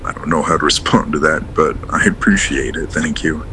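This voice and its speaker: A man acting as a radio drama caller with a strikingly low voice and a crisp, forceful edge. He is a polite, modest night worker, hesitant and apologetic. Faint noise sits behind him. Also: moderate pace, exaggerated voice, low-quality recording. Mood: shy, grateful. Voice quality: distinctive deep voice.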